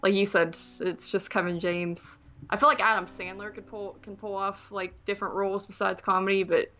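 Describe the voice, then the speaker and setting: A woman's voice: medium pitch, little pitch movement, moderate pace, sharp tone, highs cut off. A woman chatting casually as a podcast co-host, rambling informally about films. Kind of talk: casual chat reviewing films.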